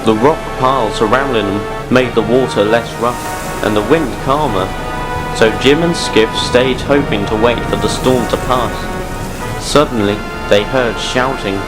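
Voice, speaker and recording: A male narrator with a medium-pitched voice reads a scripted adventure tale for an amateur audio drama. Music plays clearly behind him. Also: moderate pace, poor audio.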